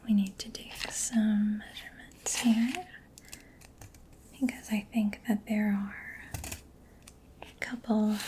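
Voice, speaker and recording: A woman doing soft-spoken ASMR roleplay in a breathy whisper, gentle, attentive and reassuring, close to the microphone. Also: a little high. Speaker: ASMR performer. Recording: faint background noise.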